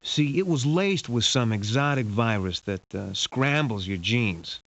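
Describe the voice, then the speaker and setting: A man's voice: medium pitch, lively intonation, unhurried, fairly bright tone. A man playing a hard-boiled, wisecracking detective in a video game, with a wry, blunt way of talking. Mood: irritated. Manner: wry, blunt, hesitant asides. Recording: hissy, dull lo-fi game audio.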